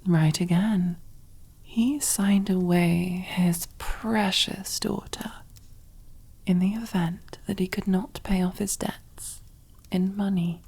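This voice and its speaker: Husky, whispering female mob boss, acted by a woman. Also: on the low side, steady tone, darkish tone, exaggerated voice. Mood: calm menace. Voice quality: breathy, husky near-whisper. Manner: slow, deliberate, teasing, condescending endearments. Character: commanding, possessive, silkily menacing female mob boss. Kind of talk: ASMR roleplay, close whispered character acting.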